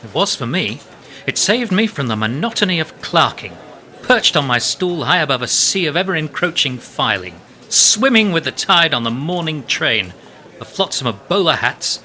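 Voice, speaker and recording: An actor playing an earnest, poetic English playwright and former army captain of the 1920s in a radio play, speaking in a lyrical, reflective, articulate way. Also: male voice, medium pitch, very animated, normal speed, bright, forceful tone. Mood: wistful, impassioned.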